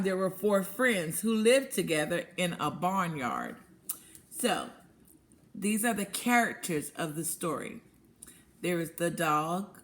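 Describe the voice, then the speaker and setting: A woman reading a picture book to young children as a teacher, asking questions, affirming answers and explaining words simply. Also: fairly low pitch. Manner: asks questions, pauses, then affirms answers. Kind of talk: interactive picture-book read-aloud lesson.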